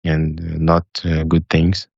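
A man calling in for advice, speaking casually in a hesitant, halting, deferential way. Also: rumbling low, monotone, gravelly, a little mellow, no echo, muffled recording. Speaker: caller seeking advice. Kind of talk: casual conversation.

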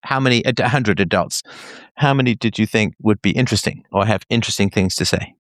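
A man's voice: on the low side, quick pace, dry recording. Dry-sounding man pressing with questions. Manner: questioning, pressing with logical follow-ups. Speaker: host interviewing a caller. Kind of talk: probing conversational interview.

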